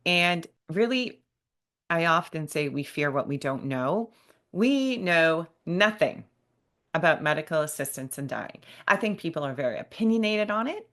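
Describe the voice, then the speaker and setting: Low-voiced woman hosting solo. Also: expressive, normal speed, a bit bright. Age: 50s. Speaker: podcast host and bereavement specialist. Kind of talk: solo podcast talk to listeners.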